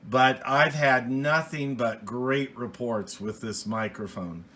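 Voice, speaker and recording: American man, middle-aged, bright forceful voice, plainspoken hobbyist review, rambling explanations, noticeable background noise. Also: mid-range voice, takes it slowly. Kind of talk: casual unscripted product review. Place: small indoor home office radio room.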